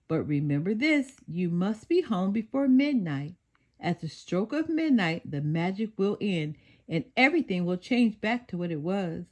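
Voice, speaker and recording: A woman voicing a kindly fairy godmother in a storybook, calm and instructive as she gives a warning. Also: medium pitch, moderate pace. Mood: kindly firm.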